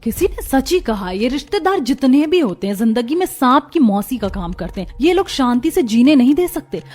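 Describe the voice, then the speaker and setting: Peevish young city wife, high-pitched woman acting. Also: very animated, moderate pace, darkish tone. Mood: resentful. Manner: complaining, pleading. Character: peevish young city-bred daughter-in-law who dislikes village life. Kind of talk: Hindi cartoon story voice acting.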